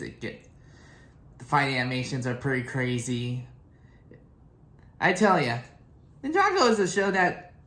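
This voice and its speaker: A young adult man giving casual, rambling fan commentary as a video host, with a halting delivery. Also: fairly high pitch, a bit bright. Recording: echoey room.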